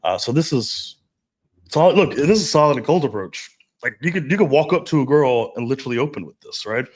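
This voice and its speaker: A man talking casually as a dating coach, brash and profane, telling stories with animated asides. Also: medium pitch, brisk. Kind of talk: casual podcast chat.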